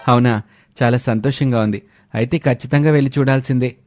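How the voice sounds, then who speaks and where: A man voicing a calm, good-natured young army man and husband in a spoken moral story. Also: mid-range voice, brisk, faint music behind, natural voice. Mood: eager.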